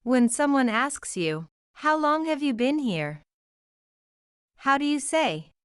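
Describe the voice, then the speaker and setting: A woman leads a beginner English lesson in a high, bright voice. Her pitch jumps about as she speaks slowly and clearly. The sound is dry and close, with quiet music behind her. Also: very animated, dry recording. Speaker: English teacher.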